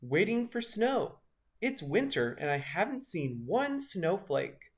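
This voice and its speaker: Man voicing an impatient, high-pitched grumbling badger. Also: very animated, slow, heavily acted. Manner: groaning, plaintive. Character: impatient, grumbling male badger longing for snow.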